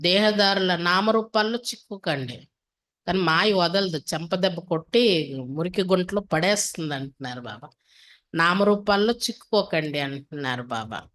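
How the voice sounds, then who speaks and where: A woman delivers a devotional discourse to a class. Her voice sits a little low for a woman. She explains point by point, asking rhetorical questions and returning to set refrains. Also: animated, moderate pace, a bit bright. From India. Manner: didactic, with rhetorical questions and repeated attributive refrains. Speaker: spiritual teacher reading and explaining a daily discourse.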